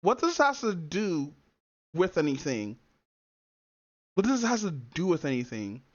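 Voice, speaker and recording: A streamer with a feminine-sounding voice riffs casually on stream, rambling and swearing freely and restarting sentences as the thoughts come. The pitch sits in a middle range. The stream audio sounds dull and lo-fi. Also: big pitch swings, normal speed. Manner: profane, rambling, many fillers and restarts. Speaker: streamer reacting to a video. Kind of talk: casual reaction-stream chat.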